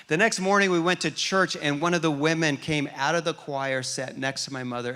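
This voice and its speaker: A man delivers a sermon as a lively storyteller, often stumbling and restarting his phrases. His voice is bright and forceful, sitting slightly high for a man. He grew up in West Africa as an American. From grew up in Liberia, West Africa, as an American. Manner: storytelling, animated, with repeated restarts. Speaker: pastor.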